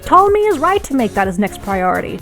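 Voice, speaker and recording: A woman's voice: sits high, very animated, quick pace, a bit bright, faint music behind, voice pushed up, heavily acted. A woman voicing a brash, smitten male rebel radio broadcaster in an audiobook, with theatrical, emphatic delivery. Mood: outraged.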